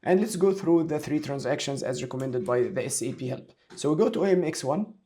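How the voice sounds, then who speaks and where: Mellow-voiced male software instructor. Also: fairly high pitch, expressive, fairly quick. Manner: instructional, talks through each step aloud. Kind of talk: screen-share tutorial, explaining step by step.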